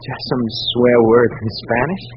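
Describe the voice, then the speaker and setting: A man's voice: medium pitch, moderate pace, close to an everyday voice. A man playing a nervous, scrawny drunk informant with a stammering, wheedling manner in an old radio detective drama. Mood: sly, giggly. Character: whiny, nervous, scrawny barfly lush and informant. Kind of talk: 1940s-50s radio detective drama acting. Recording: tinny, hissy old radio recording.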